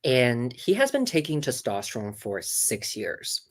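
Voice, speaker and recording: A woman voice coach talking casually on a video call, animated and demonstrative, with many fillers and restarts. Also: extremely low pitch, a little slow. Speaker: voice coach guest. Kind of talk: casual interview, teaching.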